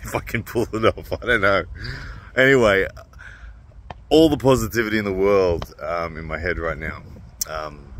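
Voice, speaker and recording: A man's voice: a bass voice, pushed and bright, slight background noise. A man in his thirties talking casually to a phone camera held at arm's length outdoors, upbeat and chatty with lots of fillers. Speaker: armwrestler vlogger. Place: outdoors by a car park.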